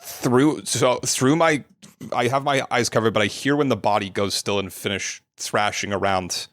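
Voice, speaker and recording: A man playing a tabletop game, describing his character's actions deadpan. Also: mid-range voice, normal speed, no echo, clean studio sound. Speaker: player guest.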